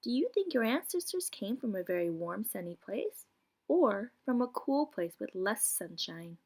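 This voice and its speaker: Female voice, medium pitch, animated intonation, explanatory picture-book read-aloud, teacher addressing small children. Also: normal speed. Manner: clear explanatory reading with questions posed to children. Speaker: preschool teacher reading aloud.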